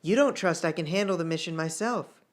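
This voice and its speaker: A man's voice: a little high, fairly quick, natural voice. A man reading the part of a wry, dutiful young woman soldier in a fantasy story. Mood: questioning.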